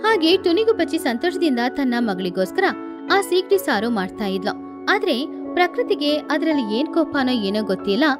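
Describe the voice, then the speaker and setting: Woman narrator for children's moral bedtime stories, high-pitched, over clear background music. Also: sing-song, normal speed. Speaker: storyteller. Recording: music behind the voice.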